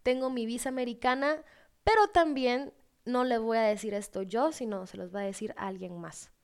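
A woman's voice: on the high side, moderate pace, clear, resonant tone. A woman in her twenties with a Guatemalan accent, hosting a podcast with casual storytelling in a chatty, playful manner. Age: 20s. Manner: chatty, playful, acts out dialogue in anecdotes. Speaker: podcast host.

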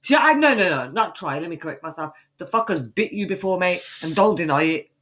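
A woman's voice: fairly low pitch, lively intonation, brisk, a crowd in the background. Bunged-up British woman bantering crudely. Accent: British English. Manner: swearing, teasing, playful and loud. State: congested with a cold. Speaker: podcast co-host. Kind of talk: crude banter between friends.

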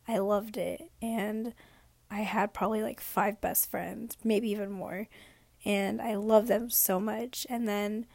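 A sick young woman who is losing her voice talks in a rambling, reflective way in a late-night personal vlog. She is hoarse and sometimes drops into a whisper. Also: mid-range voice, steady tone, slow, darkish tone, no echo. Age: young adult. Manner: rambling, reflective, many fillers.

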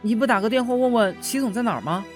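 A man's voice: very high-pitched, fast talker, faint music behind. A man playing a chatty, playful young office worker in an audio drama, teasing and eager. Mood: surprised, concerned.

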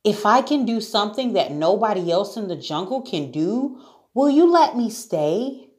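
Woman voicing a timid, high-pitched storybook girl. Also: very animated, moderate pace, darkish tone, lightly acted. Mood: hopeful. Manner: pleading, apologetic. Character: polite, timid but plucky young girl. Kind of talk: children's storybook character voice.

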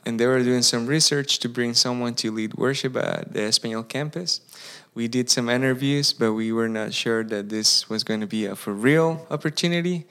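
A man's voice: mid-range voice, a bit bright. Costa Rican-accented man, earnest pastor. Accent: Costa Rican Spanish. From Costa Rica. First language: Spanish. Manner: earnest, speaks slowly and restarts phrases while searching for English words. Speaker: campus pastor. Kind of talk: interview guest, casual telling of his story.

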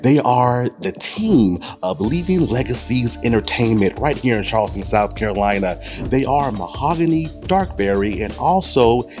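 A man hosting a talk show in a playful, animated way, often addressing his guests as y'all. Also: medium pitch, moderate pace, faint music behind.

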